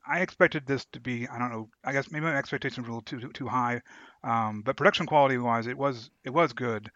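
Male podcast co-host, crisp forceful tone, casual delivery, dry low-fidelity recording. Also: no room sound. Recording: dull lo-fi sound.

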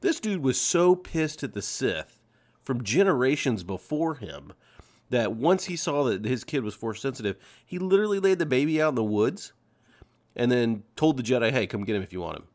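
A man's voice: medium pitch, very animated, moderate pace, darkish tone. A man co-hosting a podcast, talking through a book casually with friends in a rambling, slangy way. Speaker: podcast co-host.

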